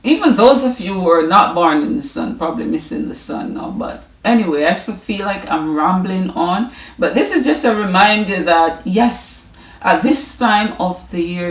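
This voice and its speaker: A woman with a Jamaican accent, chatting informally and loosely to her viewers as the host of a wellness vlog. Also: mid-range voice. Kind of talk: casual rambling chat to viewers. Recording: echoey room, distant mic.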